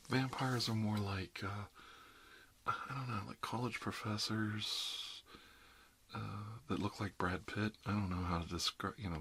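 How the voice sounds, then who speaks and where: A man's voice: fairly low pitch, sharp tone, a little hiss or hum. A middle-aged man doing an ASMR roleplay as a dentist, chatting casually in a rambling, jokey, one-sided conversation peppered with "you know". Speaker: ASMR performer playing an emergency dentist.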